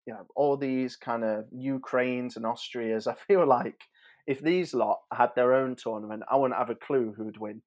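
A man's voice: medium pitch, steady tone, normal speed, darkish tone. A man in his thirties chatting informally and a little hesitantly as a podcast host previewing sport. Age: 30s. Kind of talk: casual football preview chat.

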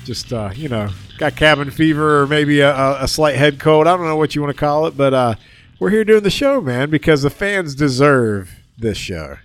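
A man's voice: a little high, animated, normal speed, faint music behind. Nasal man with a head cold. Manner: rambling, many restarts and fillers. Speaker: podcast host. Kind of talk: casual sports podcast chat. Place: indoor room at home.

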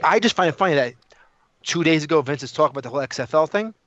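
A man's voice: fairly high pitch, animated, rapid-fire, fairly bright tone, dry recording. New man, emphatic podcast talker, dull audio. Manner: emphatic, repetitive, peppered with rhetorical questions. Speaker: podcast co-host. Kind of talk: casual opinionated discussion.

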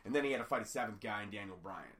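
Raspy man talking wrestling on a podcast. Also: fairly low pitch, very fast. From Canada. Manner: talkative, rambling, animated. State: raspy from a cold. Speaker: podcast co-host. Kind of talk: casual wrestling podcast chat.

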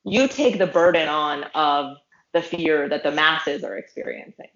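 Woman host, low-ish voice, encouraging, echoey muffled room. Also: a little slow, fairly bright tone. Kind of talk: casual conversation.

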